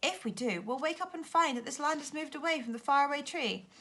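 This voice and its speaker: A woman's voice: sits high, animated, fairly quick, a bit bright, pitched above the performer's own voice. A woman voicing an anxious, bossy little fairy in a children's storybook, urgent and pleading.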